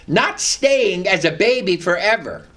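An older man preaching a sermon with a loud, carrying voice, reading scripture and telling a personal story with animation. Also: high voice, very animated, measured pace.

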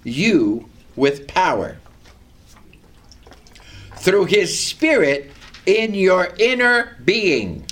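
An older man preaching with a loud voice that carries and a lively, swooping delivery. He talks slowly and deliberately, moving between scripture readings and personal stories. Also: high-pitched. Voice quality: loud, carrying voice. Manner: animated storytelling, reads scripture aloud. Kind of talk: sermon with personal testimony.

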